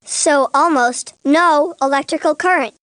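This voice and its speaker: A woman's voice: high voice, unhurried, fairly bright tone, no room sound. A woman voicing an earnest young boy who works as a lawyer's assistant in a cartoon, asking eager, methodical questions. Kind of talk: animated educational courtroom dialogue.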